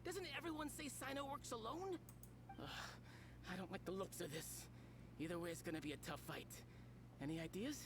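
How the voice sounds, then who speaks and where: Actor as shifty game villain, small-time dealer, gruff but cowardly, lively pitch swings, noisy ambience. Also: brisk, close to an everyday voice. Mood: alarmed, nervous. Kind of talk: video game voice acting.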